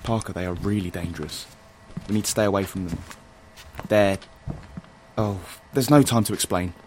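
An actor playing an earnest, protective and slightly nerdy rebel in an audio drama, explaining things insistently. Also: male voice, low voice, normal speed, darkish tone, slight background noise, lightly acted. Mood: grave, insistent. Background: music.

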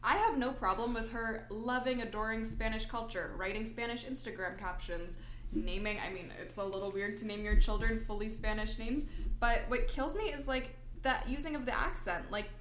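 A woman's voice: a little high, moderate pace, fairly bright tone, a little hiss or hum. A young woman co-hosting a podcast, opinionated and outspoken, in casual chat full of "like". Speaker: podcast co-host. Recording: roomy echo.

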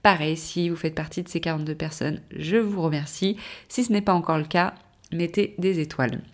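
A woman's voice: fairly low pitch, a little fast. Woman podcast host with a cold. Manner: rambling, many fillers and self-corrections. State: has a cold. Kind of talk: casual solo podcast talk.

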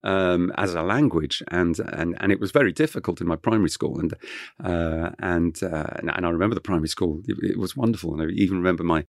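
Man, very low voice, dry close sound, middle-aged, rambling with fillers, first language French. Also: fast, creaky, no room sound. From England (Kent). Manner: rambling, many fillers and restarts. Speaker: chef guest. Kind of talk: relaxed interview storytelling.

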